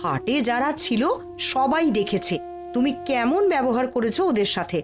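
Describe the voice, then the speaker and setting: Woman acting a high-voiced, scolding cartoon wife. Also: expressive, normal speed, music in the background, lightly acted. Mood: scolding, warning. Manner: nagging, reproachful. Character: stern, scolding wife of a proud shopkeeper.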